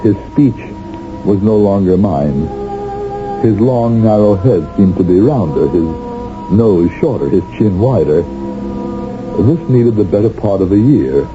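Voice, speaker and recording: A low-voiced man, once a brutal colonel and now a gentle, haunted professor. He speaks deliberately, with halting dramatic pauses. The old 1970s radio recording is muffled, with music underneath. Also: animated. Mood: haunted, reflective. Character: reformed secret police colonel reborn as gentle professor, haunted.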